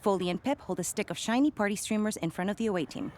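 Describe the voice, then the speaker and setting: A woman's voice: mid-range voice, fast talker, darkish tone, a little hiss or hum, lightly acted. A woman acting as the matter-of-fact narrator, reading stage directions in a scripted comedy play.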